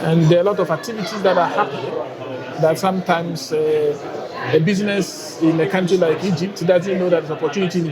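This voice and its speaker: A man from Ghana with a Ghanaian accent, heading a bank's guarantees unit and answering interview questions in a measured way with frequent hesitations. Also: fairly high pitch. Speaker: bank guarantees unit head. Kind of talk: conference interview. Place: busy trade conference floor. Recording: loud crowd behind.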